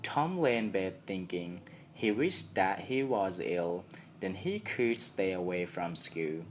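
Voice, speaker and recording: A young man with a Thai accent, reading a story aloud carefully and deliberately. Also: low-pitched, animated, a little hiss or hum. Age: young adult. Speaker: student audiobook reader. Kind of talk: scripted story narration.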